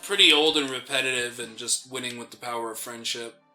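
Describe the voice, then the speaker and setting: A man's voice: mid-range voice, fairly quick, pushed and bright, rough recording. A young man co-hosting a gaming show, chatting casually in a rambling, slangy way as he plays. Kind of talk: casual chat during video game commentary. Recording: game music behind the voice.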